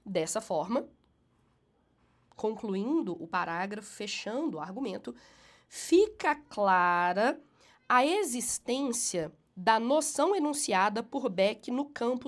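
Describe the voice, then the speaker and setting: A woman teacher correcting a student essay, slowing to read passages aloud with emphatic rises, then explaining briskly; she has a cold and sounds a bit nasal. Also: medium pitch.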